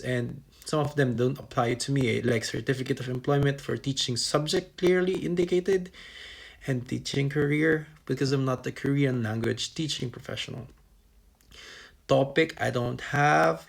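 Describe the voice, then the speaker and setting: Young man, from the Philippines, gravelly husky voice, casual checklist walkthrough. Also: mid-range voice. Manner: talks through a checklist item by item, with restarts and fillers. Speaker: vlogger giving scholarship application advice.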